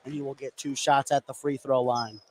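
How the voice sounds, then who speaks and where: A man's voice: mid-range voice, fairly even intonation, normal speed. A man doing live radio play-by-play of a basketball game, working as the main announcer. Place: indoor basketball gym. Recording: noisy gym ambience behind.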